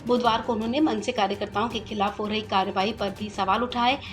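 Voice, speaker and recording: A woman's voice: mid-range voice, fairly even intonation, fairly quick, faint music behind. A woman presenting the news in a scripted narration style.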